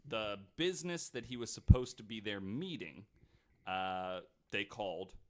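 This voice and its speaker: Low-voiced man hosting a casual podcast. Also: lively intonation, measured pace, a little mellow. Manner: loose and chatty, sprinkles in likes and mild swearing. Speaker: podcast host. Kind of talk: casual storytelling chat.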